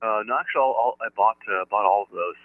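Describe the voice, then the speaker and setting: An American man chatting casually as an amateur radio operator, with a halting delivery. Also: on the low side, no room sound. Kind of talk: casual ham radio chat. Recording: narrow-band, telephone-like radio audio.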